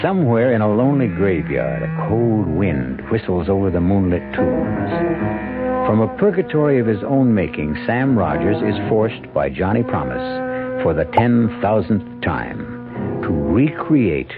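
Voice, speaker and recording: A man hosting a radio mystery drama, narrating in an ominous, theatrical, deliberate manner. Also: fairly low pitch, very animated, narrow, tinny audio. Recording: thin old radio recording, loud music behind.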